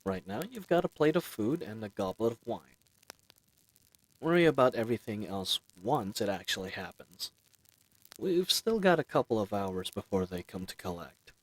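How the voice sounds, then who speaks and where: Man playing a charming, lively bandit leader. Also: fairly low pitch, normal speed, rough recording. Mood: cheerful, reassuring. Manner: casual, chatty, playfully teasing. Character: charismatic, friendly male bandit leader, teasing and roguish. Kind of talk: fantasy audio roleplay acting, speaking intimately to listener.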